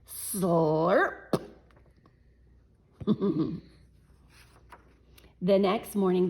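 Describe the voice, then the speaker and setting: A woman teacher reading a picture book aloud to children, animated and chuckling, pausing to talk to her class. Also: mid-range voice, breathy edge. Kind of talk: children's read-aloud storytime.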